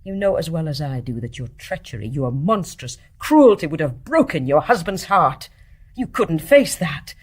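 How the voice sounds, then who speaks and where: Embittered nurse in radio drama: low-pitched, husky, intense, accusing and anguished. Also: female voice, sing-song, normal speed, full character voice. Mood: cold accusing. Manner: fervent, scornful, emphatic delivery. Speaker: actor in a radio play. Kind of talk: intense stage-play dramatic acting.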